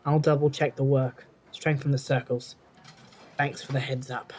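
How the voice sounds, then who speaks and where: An actor playing an arrogant, sarcastic young occultist in an audio drama, speaking with overconfidence. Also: male voice, mid-range voice, flat delivery, moderate pace, lightly acted. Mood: smug, dismissive. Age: young adult.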